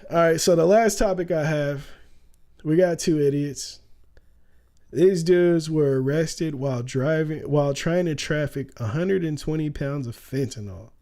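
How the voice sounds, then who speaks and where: A young man talks casually on a stream, loose and full of slang, laughing easily. His voice sits a little high but sounds warm and mellow, with a faint rasp. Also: unhurried. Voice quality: raspy at times. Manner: loose, slangy, laughs often, says "bro" a lot. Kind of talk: casual livestream commentary.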